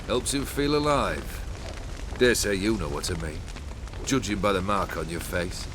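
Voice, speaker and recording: A man's voice: deep voice, normal speed, background noise, close to an everyday voice. An actor playing a cold, world-weary immortal nobleman in a video game, speaking with arrogant, sardonic, archaic phrasing. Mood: wry, world-weary. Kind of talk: video game character acting.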